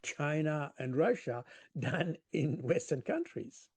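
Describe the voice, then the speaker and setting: Slow-talking older man, English speaker. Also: on the high side, big pitch swings, a crowd in the background. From Switzerland. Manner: halting, repeats words while searching for phrasing. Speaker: retired military intelligence analyst, interview guest. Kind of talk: spontaneous geopolitical commentary in English.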